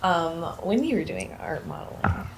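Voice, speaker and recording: Curious woman interviewer, low-ish voice. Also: expressive, normal speed, slight background noise. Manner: curious, brief reactions, hesitant "um" before questions. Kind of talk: casual interview questions.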